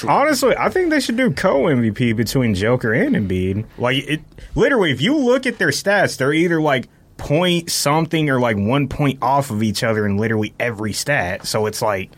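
A man's voice: mid-range voice, very animated, a little fast. A man in a casual sports debate, speaking informally and with firm opinions. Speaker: podcast panelist.

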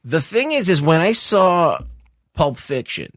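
Halting man in casual film talk, dry sound. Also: a little high, very animated, fairly bright tone, no echo. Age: middle-aged. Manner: halting, circling phrases, casual swearing. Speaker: podcast co-host discussing films. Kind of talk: casual opinionated film chat.

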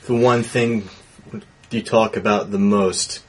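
A man's voice: lowish voice, steady tone, takes it slowly, slight background noise. A man in a casual group debate as a panelist on a movie show, questioning and hedging as he presses his point.

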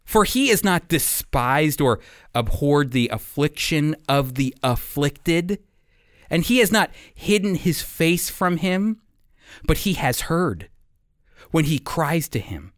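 A man delivers a sermon in a smooth, clear voice pitched a little high. He speaks earnestly, leaning on key phrases and reading scripture with dramatic weight. The sound is dry and close. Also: sing-song, slow, no room sound. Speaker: preacher. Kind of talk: sermon with scripture read aloud.

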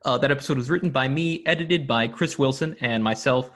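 A man's voice: mid-range voice, brisk. Man answering with easy fillers. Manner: relaxed, with fillers. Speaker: guest, audio drama producer. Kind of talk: interview.

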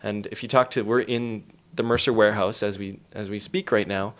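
Guy on an interview, lowish voice, explains stuff like he's telling stories, emphatic. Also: steady tone, brisk. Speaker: interview guest. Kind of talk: conversational, storytelling explanation.

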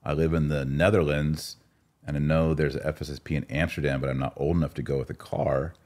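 Deep-voiced American man chatting casually. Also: level tone, fairly quick, a little mellow. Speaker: podcast host. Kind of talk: casual chat, reading and answering listener comments.

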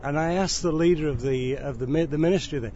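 A man's voice: on the high side, fast, warm tone. A man from England, hosting a podcast interview, telling anecdotes and laughing easily. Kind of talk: chatty interview. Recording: dull lo-fi audio with faint background noise.

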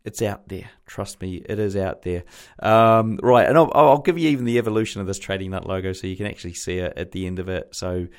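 A New man hosting a podcast, chatty and rambling with many fillers, his voice a little croaky from a sore throat. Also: low-pitched, a little fast. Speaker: podcast host. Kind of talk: casual podcast intro.

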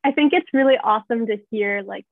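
Young woman co-host, mid pitch, phone-like tinny line, dry, friendly fillers. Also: fairly quick, dry recording. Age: young adult. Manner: friendly, with many you-knows. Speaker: podcast co-host. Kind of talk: casual interview chat.

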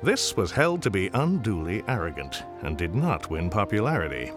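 An actor narrating a radio storybook tale of the Old West as a wry, courtly storyteller. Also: male voice, deep voice, very animated, moderate pace. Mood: wry storytelling. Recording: music behind the voice.

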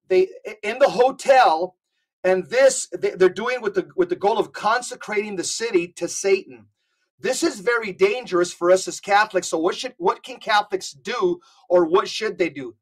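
A man talking as a guest on a podcast, in a fervent, emphatic way with frequent restarts. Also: sits high. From United States. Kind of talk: conversational podcast talk.

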